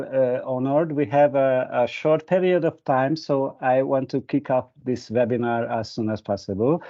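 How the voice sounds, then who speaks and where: A man's voice: mid-range voice, mellow. A Hungarian-speaking man giving a webinar presentation, hesitant, with many fillers and restarts. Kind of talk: presentation with an anecdote. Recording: muffled video call audio.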